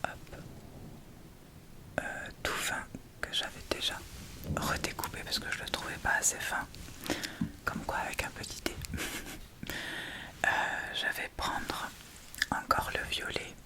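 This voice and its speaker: A woman's voice: unhurried, pauses often. A woman whispering an ASMR makeup tutorial, talking through each step in a hesitant, improvised way.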